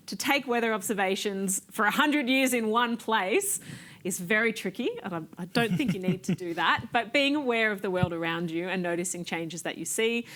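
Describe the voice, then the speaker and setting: A middle-aged woman, explaining weather history on a panel in a library, lively and gesturing, on a lapel mic. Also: medium pitch. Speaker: climate scientist panelist. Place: library set with bookshelves, indoors.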